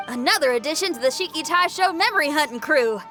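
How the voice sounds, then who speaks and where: A woman's voice: high voice, expressive, fast talker, a bit bright, soft background music. A woman playing a spirited young rock musician in a video game, friendly, upbeat and plain-spoken in a folksy way. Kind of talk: game cutscene acting.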